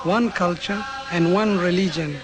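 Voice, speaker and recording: High-voiced male documentary narrator. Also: a little slow, noisy background.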